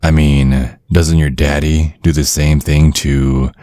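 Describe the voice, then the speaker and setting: A man's voice: extremely low pitch, level tone, slow, warm tone, no room sound. A man playing a centuries-old vampire father in an ASMR roleplay, protective and slyly polite, teasing with veiled threats. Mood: condescending, impatient. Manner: mock-courteous, insinuating, teasing with veiled threats.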